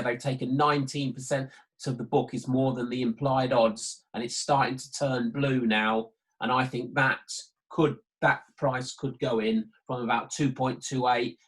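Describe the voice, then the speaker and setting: Male trading tutor, mid-pitched voice, hesitant and repetitive, talking through live markets on an online call. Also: low-quality recording. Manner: hesitant, repetitive, thinking aloud. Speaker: sports betting trading tutor. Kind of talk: live market walkthrough commentary.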